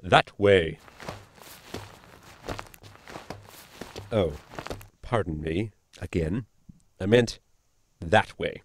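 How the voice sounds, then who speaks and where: A man playing a pedantic, eccentric science officer, formal and polite, with a creaky voice, in a sci-fi comedy audio drama. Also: low-pitched, slow, slight background noise. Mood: thoughtful.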